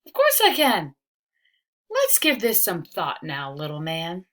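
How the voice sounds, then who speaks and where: A woman's voice: fairly low pitch, wildly swooping pitch, unhurried, a bit bright, full character voice. A woman voicing an encouraging, upbeat male older cousin who reassures a child in rhyming storybook lines.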